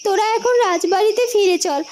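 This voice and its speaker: A woman's voice: very high-pitched, fairly quick, darkish tone, slight background noise. A woman playing a caring, dutiful young princess and elder sister in a Bengali fairytale cartoon. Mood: caring, firm.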